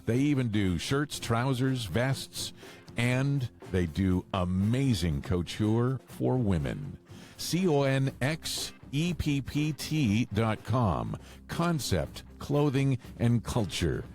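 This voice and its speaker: A man's voice: mid-range voice, very animated, slow pace, a little mellow. A man reading podcast sponsor spots conversationally, with wry, playful asides. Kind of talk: conversational ad read.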